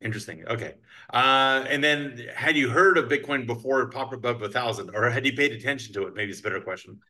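A man in his thirties hosts an interview over a webcam, talking in a chatty way that is full of restarts and fillers. His voice is bright and forceful, at a middle pitch. He sounds like he is at a desk in an office. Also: fast talker. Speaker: host, crypto attorney. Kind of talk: casual video call interview. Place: bright office with large windows. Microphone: video call webcam.

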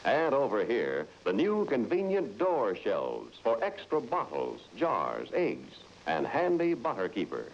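A man delivering an emphatic vintage advertising pitch as a commercial announcer, on a muffled, noisy old recording. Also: huge pitch swings, unhurried, hardly any pauses.

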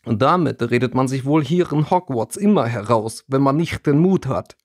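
A man's voice: medium pitch, animated, moderate pace, husky, no room sound, clean studio sound. A man voicing an irritated, mocking older teenage boy, a foreign wizard student in an audiobook. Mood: irritated, challenging. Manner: mocking, challenging.

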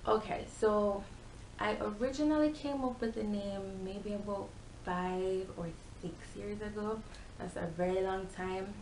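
A young Jamaican woman talks casually to camera in a chatty, animated way. Her voice sits at a middle pitch and carries a Jamaican accent. It was recorded in a bare, echoey room with a little background noise. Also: unhurried. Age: young adult. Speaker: YouTube vlogger. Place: small bare-walled room indoors.

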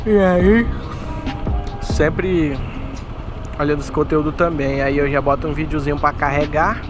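A man's voice: on the high side, steady tone, unhurried, music in the background. A young man, chatting casually and rambling to viewers while riding a motorbike, full of street slang. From Manaus, Brazil. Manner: slangy, rambling, addresses viewers as mano and tropa. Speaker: motovlogger delivery rider. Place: outdoors riding a motorcycle in city traffic. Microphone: helmet-mounted action camera. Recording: loud road and engine noise. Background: traffic and motorcycle engine.

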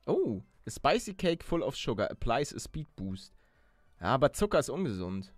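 A man in casual gameplay chat, talking excitably and often repeating or stammering over his phrases. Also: medium pitch, moderate pace, dry recording, studio quality, soft background music. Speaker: gaming video player.

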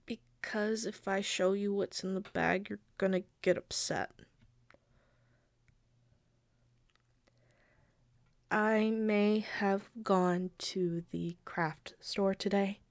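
A quirky young girlfriend as a woman acts her out in an intimate audio roleplay. She speaks slowly at a middling pitch, halting and pleading, with a sheepish, playfully defensive temper. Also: fairly even intonation, gravelly. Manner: hesitant, pleading, halting with pauses. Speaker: amateur audio roleplay voice actor.

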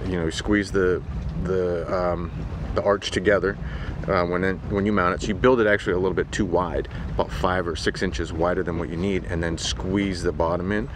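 Man, forties, very low pitch, matter-of-fact vlog explanation, hesitant phrasing, noisy outdoor boat recording. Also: steady tone, moderate pace. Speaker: sailing vlogger reviewing equipment. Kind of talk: casual explanatory talk to camera. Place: outdoors on a sailboat deck at anchor. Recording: windy outdoor boat deck noise.

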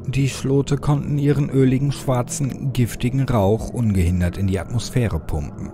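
A man narrates a scripted horror audiobook in a measured, solemn way. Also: mid-range voice, creaky. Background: background music.

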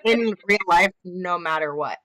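Author guest, woman in her thirties, low-ish bright voice, lively storytelling on a home video call. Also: measured pace, rough recording. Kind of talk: casual video-call interview. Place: home room.

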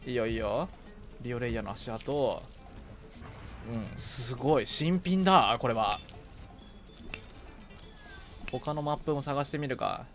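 A man streaming a video game in casual co-op chat, reacting playfully and excitably and often talking to himself as he plays. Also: mid-range voice, normal speed, faint music behind.

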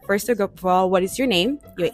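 A woman's voice: medium pitch, normal speed, soft background music. A woman hosting a dating show, with playful, quirky phrasing. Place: bright studio with flower wall.

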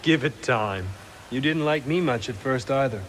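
A man's voice: mid-range voice, animated, moderate pace, natural voice. Man acting confident Jedi knight, noisy backdrop. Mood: playful, assured. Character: confident, impatient male Jedi knight, visionary explorer. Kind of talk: cinematic video game acting.

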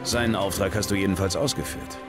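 A male actor as a steady, loyal video game hero. The voice sits low, with a dry, terse manner. Clear music runs underneath. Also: fast, natural voice. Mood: calm, steady. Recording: background music.

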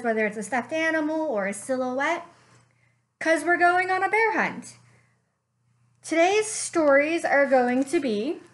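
A young woman reading a picture book aloud for children, slow and expressive with playful sound effects, recorded on a webcam in a small room at home. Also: high voice. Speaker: children's librarian. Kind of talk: storytime read-aloud.

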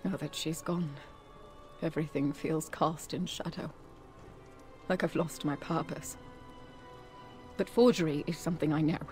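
Solemn, grieving elf forger. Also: female voice, low-pitched, steady tone, unhurried, pauses often, a little mellow, faint music behind. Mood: mournful. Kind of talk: fantasy video game dialogue.

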